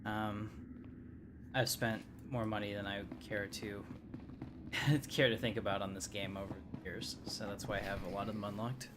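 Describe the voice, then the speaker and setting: A man with a fairly low voice talks casually as he streams a game, drifting into rambling asides. Loud game ambience runs behind him. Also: background noise. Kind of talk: casual live gameplay commentary.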